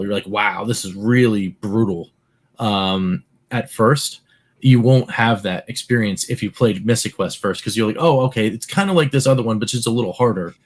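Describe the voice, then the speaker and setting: A man co-hosting a podcast, explaining at length in a relaxed, casual way with lots of filler words. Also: mid-range voice. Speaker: podcast co-host. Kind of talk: casual chat.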